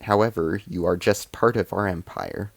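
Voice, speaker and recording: A man's voice: deep voice, fairly even intonation, normal speed, husky, dry recording. A man voicing a haughty alien female ambassador in a story, explaining and commanding with cold menace.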